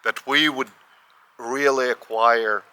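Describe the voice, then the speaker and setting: A man with a Lithuanian accent, whose first language is Lithuanian, giving a spiritual teaching in a measured way, often repeating and restarting phrases. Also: mid-range voice, fairly even intonation, pushed and bright, clean tone. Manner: measured, with repetitions and careful restarts. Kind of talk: slow spoken teaching.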